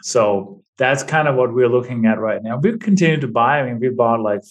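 A man's voice: mid-range voice, a little fast, muffled recording. A middle-aged man from Denmark, talking casually as a podcast guest. He often restarts sentences and tags his points with "right?". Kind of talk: casual interview talk.

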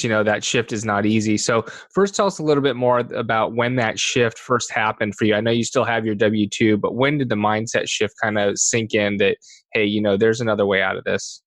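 A man co-hosting a podcast, asking interview questions in a relaxed, conversational way. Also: lowish voice, no echo. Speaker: podcast co-host.

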